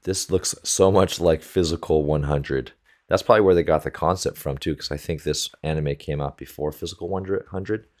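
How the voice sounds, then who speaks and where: Very deep-voiced man reacting casually. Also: normal speed, a little mellow. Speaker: reaction video host, volleyball coach. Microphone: close desktop USB microphone.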